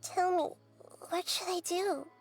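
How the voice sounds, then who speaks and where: A woman voicing a young-looking, gentle female goddess in a video game, soft-spoken and careful as she reasons things through. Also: very high voice, steady tone, takes it slowly, faint music behind. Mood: thoughtful.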